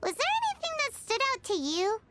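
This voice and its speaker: A woman voicing a small, cute, curious fairy companion in a video game, with a squeaky childlike voice and expressive delivery. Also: brisk, very noisy, exaggerated voice. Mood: thoughtful, concerned. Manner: refers to self in third person, exclaiming, expressive. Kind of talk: video game character dialogue.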